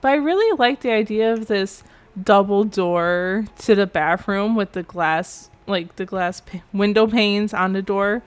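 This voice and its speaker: A woman's voice: medium pitch, measured pace, smooth. A young woman giving a rambling, chatty commentary over a game build, sounding a little nasal from allergies. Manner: chatty, rambling, self-correcting. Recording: faint background music.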